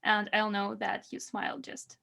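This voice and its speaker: A woman from Belarus, a guest on a casual podcast, friendly and quick to laugh. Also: mid-range voice, completely flat delivery, vocal fry, sharp tone. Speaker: podcast guest, SEO specialist.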